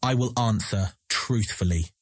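An actor playing a captured thief, earnest, proud and eloquent, speaking formally and measuredly in a fantasy audio drama. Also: male voice, lowish voice, unhurried, breathy edge, no room sound, clean studio sound. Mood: solemn sincerity.

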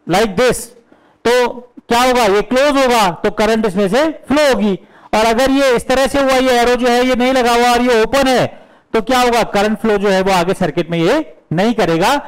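A man's voice: extremely high pitch, measured pace, clean tone, rough recording. A middle-aged Indian man with a headset mic, teaching electrical engineering at a whiteboard and answering his own questions as he explains. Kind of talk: whiteboard lecture mixing Hindi and English. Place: indoor classroom studio with whiteboard.

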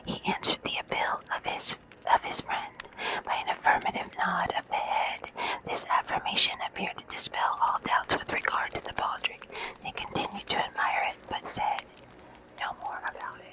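A reader whispering a classic novel aloud in a breathy, hushed voice, now and then stumbling and repeating words. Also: normal speed, low-quality recording. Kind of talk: whispered audiobook reading of a classic novel, ASMR-like.

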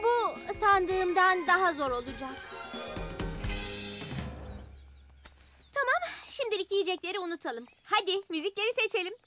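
A woman's voice: very high-pitched, animated, fairly quick, music in the background. A woman playing an upbeat, confident, helpful young girl in a children's cartoon dub, with an enthusiastic and encouraging manner. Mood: determined.

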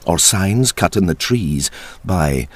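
A man narrating a dark verse tale as a storyteller, theatrical, deliberate and ominous, with a husky deep voice. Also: animated, no echo. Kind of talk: dramatic scripted storytelling in verse.

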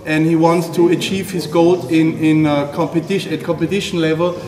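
A male interviewer, measured and a little hesitant. His voice is fairly high and very even in tone, heard in a reverberant room. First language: not English. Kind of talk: unscripted interview questions. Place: seated indoors in a gym lounge. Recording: echoey hall, faint background noise.

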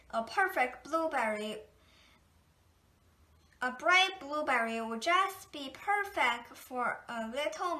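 Slow, bright-voiced woman reading aloud. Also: sits high. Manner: slow, gentle storytelling. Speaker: picture book reader. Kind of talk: children's read-aloud. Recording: echoey room.

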